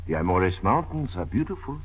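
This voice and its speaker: An actor playing a kindly, courtly older professor, hesitant and fussily concerned, in a 1940s radio drama. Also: male voice, low voice, very animated, a little fast, slightly rough, exaggerated voice. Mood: genial. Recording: dull vintage radio recording.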